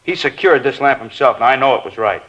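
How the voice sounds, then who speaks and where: A man's voice: mid-range voice, animated, quick pace, fairly bright tone, natural voice. A man playing a plain-spoken stage crew boss. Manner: plain-spoken, sure of himself. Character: helpful male stage crew boss, confident. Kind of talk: 1950s radio crime drama. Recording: muffled, hissy old radio recording.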